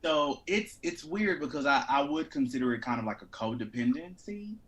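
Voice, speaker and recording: A man speaking as an actor being interviewed, candid, reflective and expressive in casual conversation. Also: on the high side, normal speed.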